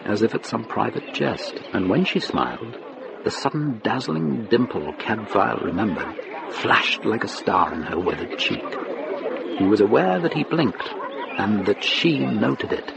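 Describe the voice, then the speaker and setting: A man narrating a radio drama in a scripted, literary style, with measured storytelling phrasing. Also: lowish voice, animated, vocal fry, crowd noise, background noise, exaggerated voice.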